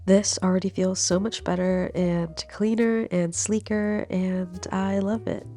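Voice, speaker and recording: A woman's voice: mid-range voice, fairly even intonation, measured pace, mellow, faint music behind. A young woman with a cold, sounding stuffy and nasal, chatting easily through a vlog voiceover. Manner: chatty, easygoing, laughs while talking. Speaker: vlogger. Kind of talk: casual voiceover narration. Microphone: studio voiceover mic.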